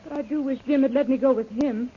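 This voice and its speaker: An actress playing a worried, spirited young missionary's daughter, earnest and questioning, in a 1930s radio serial. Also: female voice, high-pitched, fast talker, close to an everyday voice. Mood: fretful. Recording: tinny, noisy old radio recording.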